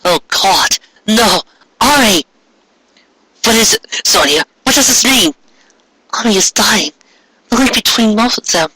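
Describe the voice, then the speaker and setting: A man's voice: sits high, expressive, a little slow, long pauses, pushed and bright, slightly rough, no room sound, voice pushed up, exaggerated voice, rough recording. A man voicing an anxious, grieving young heroine from a fan fiction in a dismayed, melodramatic way.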